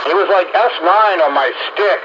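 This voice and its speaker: A man's voice: sits high, normal speed. A middle-aged man chatting casually over radio, telling stories in a folksy, rambling way. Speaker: amateur radio operator, roundup host. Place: home radio station. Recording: muffled, noisy two-way radio session.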